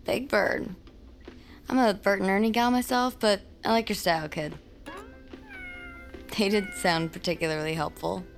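A woman's voice: medium pitch, fairly even intonation, normal speed, long pauses, soft background music, close to an everyday voice. An actress playing an easygoing, wry young American woman, a loyal friend, with casual dry teasing in a naturalistic audio drama.

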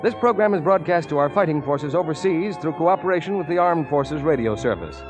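Higher-pitched vintage male announcer over music. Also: expressive, fairly quick. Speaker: radio announcer. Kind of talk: 1940s radio announcing, scripted credits. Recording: dull 1940s radio recording.

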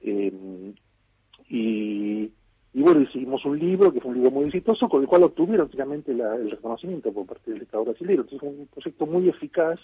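Raspy Argentine man on a phone line. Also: medium pitch, lively intonation, brisk, no echo. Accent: Argentine Spanish. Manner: rambling, many fillers and restarts. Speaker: guest writer. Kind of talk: radio interview answers.

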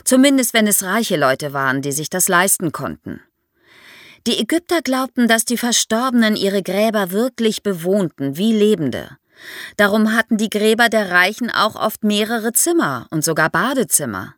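Female expert guest for an explainer podcast, lively intonation, vivid storytelling manner, very dry studio sound. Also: mid-range voice, normal speed, no echo. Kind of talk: explanatory podcast interview for young listeners.

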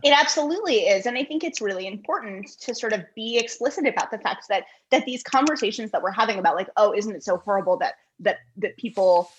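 A woman guest writer giving earnest, reflective interview answers in halting, carefully built phrases. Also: mid-range voice, lively intonation, husky, lo-fi sound.

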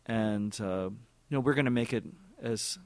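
Halting, thoughtful man in a dry studio. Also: lowish voice, no echo. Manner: thoughtful, halting, many repeated words and fillers. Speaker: orchestra music director. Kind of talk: radio interview answers.

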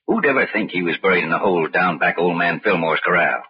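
An actor playing an older, folksy but coolly murderous rural American uncle with a drawling, falsely polite manner in a 1940s radio crime drama. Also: male voice, deep voice, animated, fast talker. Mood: cold, scheming. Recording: muffled, hissy old radio broadcast.

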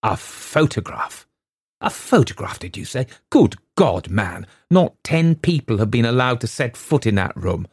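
A man's voice: sits low, a little slow, no room sound. A man voicing an irascible old father who guards a painting, snarling and scornful in a storybook reading. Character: irascible old male art-collector father. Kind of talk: audiobook reading.

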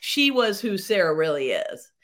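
A middle-aged woman, a theatre performer, chatting as a podcast guest in a rambling, animated way with many fillers and laughs. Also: mid-range voice, moderate pace. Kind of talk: casual interview chat.

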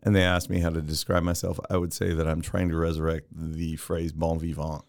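A deep, gravelly man with a strong Texan accent chats as a podcast guest, enthusiastic and fond of telling stories. His voice is very low and crackly with fry, recorded close and dry with no room sound at all. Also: level tone, fairly quick, a little mellow, no room sound. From Texas. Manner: enthusiastic, chatty storyteller with frequent laughs. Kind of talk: casual chat.

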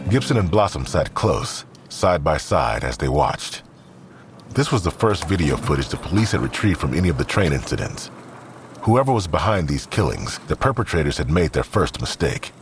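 Very deep male dramatic narrator. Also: slight background noise. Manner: measured, suspenseful.

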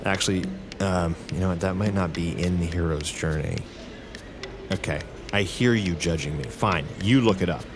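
A man playing a wry, bewildered newcomer confined in an asylum, halting and sardonic, in naturalistic audio drama style. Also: sits low, noisy background, lightly acted. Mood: wry, uneasy.

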